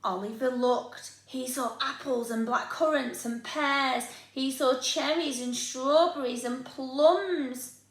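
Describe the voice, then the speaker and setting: A woman with a British accent, a primary school teacher reading a storybook to young children in a deliberate, expressive way. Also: high voice. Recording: echoey room.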